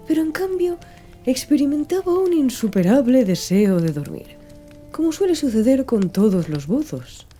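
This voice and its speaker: Dark, mellow-voiced woman narrating an audiobook. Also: mid-range voice. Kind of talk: scripted audiobook narration of a classic adventure novel. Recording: music bed under the voice. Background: background music.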